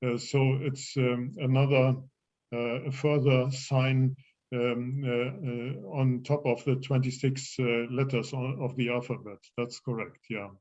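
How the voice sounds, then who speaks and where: A man with a German accent explaining things as a language teacher in English, haltingly searching for words. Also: medium pitch, fairly even intonation, a little mellow, lo-fi sound. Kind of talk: explaining in an online language class.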